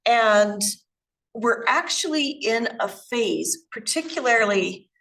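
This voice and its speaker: A woman's voice: fairly high pitch, animated, slow, fairly bright tone, recorded from a distance, low-quality recording. A woman from Canada in a group discussion, speaking earnestly and checking in with "you know what I mean?".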